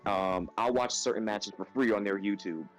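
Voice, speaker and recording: A man's voice: fairly low pitch, normal speed, soft background music. A man from New York casually chatting on a wrestling podcast, laid-back and full of "um" and "bro". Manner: laid-back, filler-heavy, says "bro" a lot. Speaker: podcast co-host.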